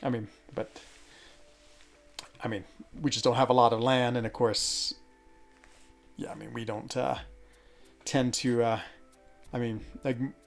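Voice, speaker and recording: Gravelly-voiced man in rambling chat. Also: medium pitch, level tone, measured pace, long pauses, soft background music. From Switzerland. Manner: rambling, many fillers and restarts. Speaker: gaming streamer answering chat. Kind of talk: casual chat. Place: home office.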